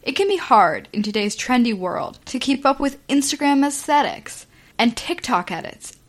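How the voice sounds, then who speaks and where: A woman's voice: sits high, big pitch swings, normal speed. A woman reading a mock infomercial in a tongue-in-cheek, salesy way.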